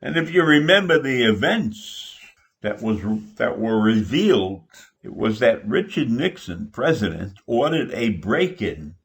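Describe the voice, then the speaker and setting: An older man with an American accent giving a political opinion monologue, deliberate and emphatic, with dramatic pauses. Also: fairly low pitch. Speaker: political commentator.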